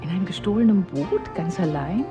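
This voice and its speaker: A woman's voice: fairly low pitch, lively intonation, fairly quick, lightly acted. An actress playing a suspicious island woman in radio drama dialogue, probing and insinuating. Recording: loud music over the voice.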